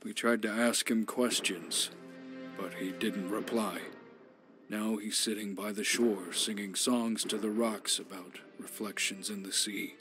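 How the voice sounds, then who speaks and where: A man voicing a shipwrecked survivor who narrates island horrors for a game in a somber, measured way. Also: on the low side, steady tone, over music, close to an everyday voice.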